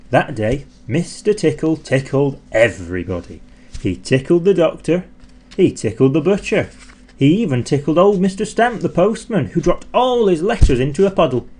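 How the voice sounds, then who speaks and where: A man narrating a children's story in an expressive, theatrical way, drawing out words for effect. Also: medium pitch. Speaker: audiobook narrator.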